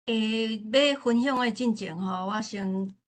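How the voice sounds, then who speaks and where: A woman with a Taiwanese accent giving informal remarks at a literature forum, halting and often repeating her phrases. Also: a little high.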